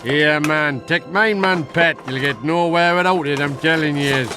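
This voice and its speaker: An actor playing a chummy, blunt fantasy game character with a Geordie accent and a matey, teasing manner. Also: male voice, a little high, unhurried, over music, natural voice. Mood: matey concern. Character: chummy, blunt, fussing male sword-giver in fantasy game. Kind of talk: video game cutscene acting.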